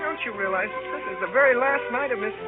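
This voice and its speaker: A man's voice: extremely high pitch, quick pace. An actor playing an awkward, lovesick teenage boy, earnest, whiny and stammering, in 1930s radio drama style. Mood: whiny pleading. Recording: tinny 1938 radio recording with music.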